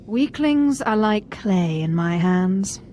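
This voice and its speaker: A woman's voice: medium pitch, expressive, slow, dark tone, a little hiss or hum. A woman playing a self-assured, ruthless young Sith apprentice in a video game. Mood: defiant.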